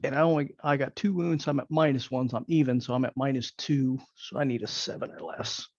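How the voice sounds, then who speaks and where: A man's voice: mid-range voice, steady tone, fairly quick, no room sound, muffled recording. A man talking casually as a player in a tabletop game, heard over voice-chat audio.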